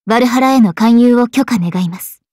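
A woman playing a calm, dutiful valkyrie in an anime game, composed and formal. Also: fairly high pitch, brisk, no echo, studio quality, close to an everyday voice. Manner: composed, polite, military formality. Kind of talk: anime mobile game voice acting.

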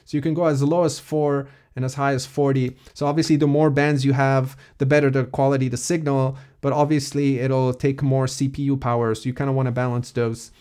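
A man in his thirties explains software step by step in an informal, methodical tutorial style, recorded on a close mic. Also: medium pitch, little pitch movement, fairly quick, few pauses, clean tone. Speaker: music production tutor. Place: small home studio room.

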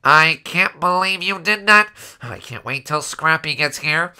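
Cartoon captive friend, emphatic, piercingly bright and crisp, timid underneath; male actor. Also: on the high side, expressive, measured pace, exaggerated voice. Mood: indignant. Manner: protesting, emphatic.

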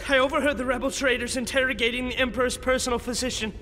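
A desperate, injured imperial guard in an audio drama. The strained voice is extremely high for a man, intense and declamatory, ringing in a long cavernous reverb. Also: little pitch movement, racing, background noise. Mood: urgent, anguished. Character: wounded, desperate imperial guardsman bearing grim news.

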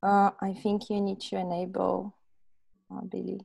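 A woman's voice: medium pitch, monotone, a little slow, gravelly, darkish tone, breathy edge. Young Brazilian woman presenting informally. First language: Portuguese. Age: young adult. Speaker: presenter, software testing specialist. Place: small room at home, indoors. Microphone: earphone headset on video call.

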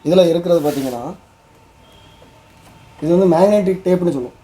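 Fast-talking man, soft dark tone, Indian Tamil. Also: high-pitched, a little hiss or hum. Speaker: host. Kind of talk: explanatory vlog presenting.

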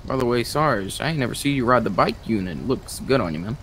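Fast, informal man chatting in role-play. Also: medium pitch, expressive, a bit bright, a little hiss or hum. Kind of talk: casual chat in online game role-play.

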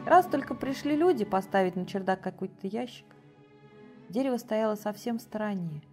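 A middle-aged woman reads a fairy tale aloud with a lively, animated voice that rises and falls, theatrical and warm in delivery. She sits in a cozy book-lined room, faint music underneath. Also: medium pitch, normal speed. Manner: theatrical, lively, softly hushed in quiet passages. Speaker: actress reading a fairy tale. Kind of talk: expressive children's story reading. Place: cozy room with bookshelves, in an armchair.

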